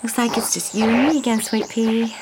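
An actress playing a gentle, worried Southern mother with a Southern accent, in a radio drama. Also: a little high, fairly even intonation, a little fast, heavy background noise, lightly acted. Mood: tender. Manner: tender, pleading.

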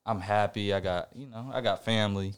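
Smooth low-voiced man, reflective chat. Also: fairly even intonation. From Puerto Rican family background. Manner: reflective, halting, many fillers and restarts. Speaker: podcast co-host. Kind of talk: casual podcast chat.

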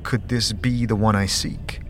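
A man's voice: fairly low pitch, flat delivery, measured pace, over music, close to an everyday voice. A man playing a scheming, haughty necromancer in a fantasy audio drama, pompously and formally intoning his journal. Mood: brooding, intrigued.

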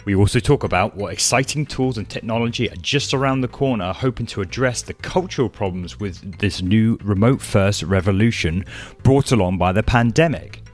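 A man's voice: on the low side, normal speed, soft background music. A man hosting a tech podcast, giving an upbeat intro and asking casual follow-up questions. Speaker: podcast host. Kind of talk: podcast interview.

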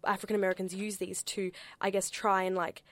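A woman's voice: medium pitch, flat delivery, brisk, a bit bright, dry recording. Hesitant Australian woman, dry sound. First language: not English. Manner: hesitant, full of fillers and restarts. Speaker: panel speaker. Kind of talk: discussion.

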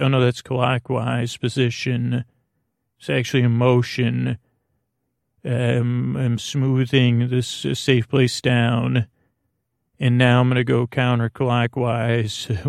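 A man with a creaky, fry-laden voice at an ordinary male pitch hosts a bedtime podcast. He wanders through his sentences hesitantly, doubling back and reassuring the listener in a soothing way. The sound is close and dry, with no room around it. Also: dry recording. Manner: meandering, hesitant, often trailing off and self-correcting. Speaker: podcast host. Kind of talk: rambling bedtime podcast monologue.

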